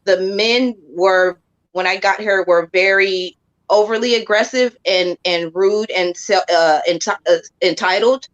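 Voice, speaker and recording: Guest, woman from Hawaii, blunt storyteller, halting with restarts, mid-pitched. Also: highs cut off. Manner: blunt, halting, repeats words. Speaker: podcast guest, singer. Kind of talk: casual podcast conversation.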